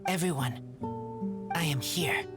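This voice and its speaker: A woman's voice: deep voice, a little slow. A woman voicing a simple, loyal wolf-raised boy who talks in terse broken sentences in an anime game. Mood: earnest. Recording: loud music under voice.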